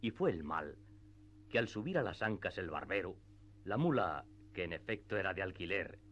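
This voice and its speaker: Low-voiced male storyteller, muffled sound. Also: very animated, normal speed, fairly bright tone, slight background noise. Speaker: narrator. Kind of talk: scripted literary narration for radio theatre.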